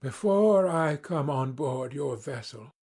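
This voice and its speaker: A narrator voicing a polite, exhausted male foreign traveler in an audiobook, with formal phrasing and a husky voice. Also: mid-range voice, lively intonation, slow pace, darkish tone. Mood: weary, formal. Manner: formal, courteous.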